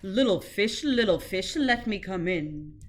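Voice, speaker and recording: A woman voicing a menacing big bad male shark in a children's storybook, booming out growled threats. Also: animated, a little slow.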